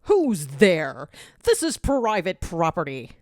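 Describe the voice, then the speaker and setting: A woman's voice: on the low side, big pitch swings, slow pace, dry recording, studio quality. A woman voicing a pompous, threatening half-wolf jackal man, a petty tyrant in an audio story. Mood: haughty, threatening.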